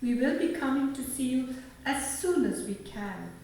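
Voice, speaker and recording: A woman playing a kindly, sentimental married neighbour in a radio play, speaking gently and with open affection. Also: high-pitched, expressive, moderate pace. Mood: tender. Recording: roomy, distant, faint background noise.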